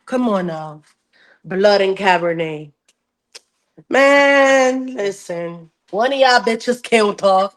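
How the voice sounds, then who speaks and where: A woman's voice: mid-range voice, expressive, slow. Emphatic woman in slangy, repetitive chat. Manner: emphatic, slangy, repeats phrases. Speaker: book club podcast host. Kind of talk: casual bilingual chat with dramatized retelling.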